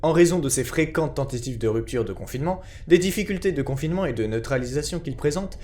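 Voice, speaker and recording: Casting: male narrator, average pitch, formal scripted report reading. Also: animated, fast, darkish tone.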